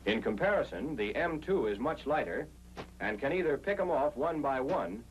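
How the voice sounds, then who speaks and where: A man's voice: on the high side, sing-song, normal speed, a little hiss or hum, low-quality recording. An American man reading a scripted 1940s instructional film narration in an emphatic, explanatory way, on an old film soundtrack.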